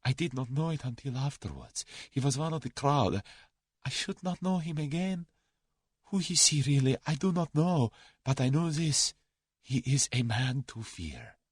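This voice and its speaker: A man voicing a cowardly, haughty aristocrat in an audiobook story, formal and halting. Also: animated, husky, no echo, clean studio sound. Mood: sullen dread. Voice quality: soft-spoken, high when agitated.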